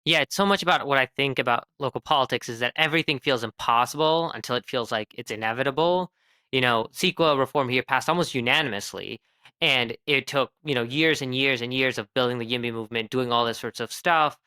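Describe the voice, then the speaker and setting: A man's voice: mid-range voice, fairly quick, sharp tone, smooth. A man co-hosting a podcast, talking things through in a thoughtful, reflective way. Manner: thoughtful, reflective, many "you know"s. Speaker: podcast co-host. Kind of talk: casual chat.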